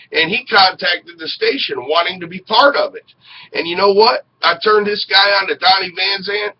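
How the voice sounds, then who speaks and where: A middle-aged man hosts a casual web show with effusive, folksy talk. His voice sits a little high, and the sound is dull and lo-fi with some noise behind it. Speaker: web radio show host. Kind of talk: casual hosting talk to camera. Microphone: headset. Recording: dull lo-fi webcam audio with noise.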